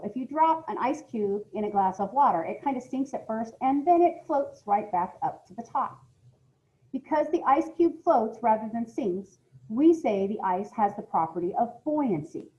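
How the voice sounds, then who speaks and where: A woman's voice: on the high side, mellow. A woman reading a lesson aloud as a schoolteacher, in an instructional, emphatic way, often putting questions to her young listeners. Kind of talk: read-aloud science lesson with asides to children. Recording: dull, lo-fi audio.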